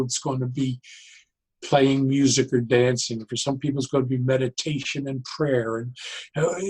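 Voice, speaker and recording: A man speaking as an interview guest, explaining his ideas conversationally in a deliberate, halting way and repeating key phrases. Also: medium pitch, breathy edge, low-quality recording. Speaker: interview guest, psychologist and joyologist.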